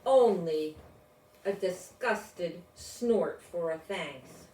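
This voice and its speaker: An older woman reading a children's storybook aloud in a deliberate, expressive way, with informal asides. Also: lowish voice. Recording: roomy, distant mic, faint noise.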